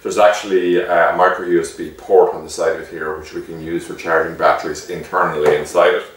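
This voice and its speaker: A man's voice: deep voice, level tone, brisk. A man giving a casual, chatty product demonstration, explaining step by step like a shopkeeper and often checking in with the listener. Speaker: shop owner presenting a product. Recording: echoey room, distant microphone.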